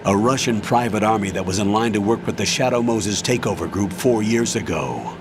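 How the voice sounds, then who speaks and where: A man playing a commanding older army colonel in a video game, giving measured, authoritative mission briefings. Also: lowish voice, animated, background noise, natural voice. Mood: grave, explanatory.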